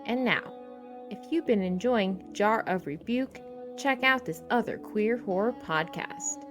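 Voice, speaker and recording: A woman reading out a podcast's credits steadily and clearly. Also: mid-range voice, expressive, measured pace, over music. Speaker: credits reader.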